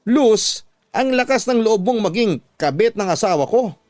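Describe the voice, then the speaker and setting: A man's voice: high-pitched, lively intonation, normal speed, a little mellow, no room sound, studio quality, pitched above the performer's own voice. A man voicing an anguished, defiant betrayed wife in a radio drama, pleading and accusing emphatically. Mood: outraged. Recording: music bed under the voice.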